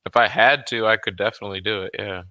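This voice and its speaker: A man's voice: lowish voice, quick pace, bright, forceful tone, dry recording, dull audio. A man in casual chat, talking in blunt, sweary banter. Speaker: software developer, show guest.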